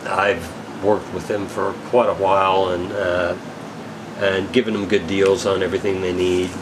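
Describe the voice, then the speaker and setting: A man giving a casual, unscripted product update as an equipment dealer, in a rambling, halting, matter-of-fact way. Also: deep voice, little pitch movement, background noise.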